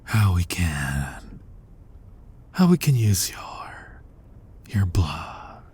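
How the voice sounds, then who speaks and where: A man playing a guilt-ridden, centuries-old vampire hunter who is himself a vampire, husky and halting, in a dramatic ASMR roleplay. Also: big pitch swings, darkish tone, full character voice. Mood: earnest, persuasive. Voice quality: husky, breathy low voice. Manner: slow, brooding, halting, often dropping toward a whisper.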